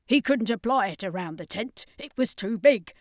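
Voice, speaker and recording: A woman's voice: on the high side, lively intonation, normal speed, no echo, studio quality, close to an everyday voice. A woman voicing an angry, brusque female circus performer in an adventure story, explaining things urgently and emphatically.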